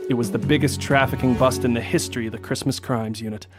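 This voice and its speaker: A man playing a determined, hard-boiled police detective in a noir radio drama, narrating and speaking in a terse, deadpan way. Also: medium pitch, quick pace, natural voice. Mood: grim, determined. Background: music.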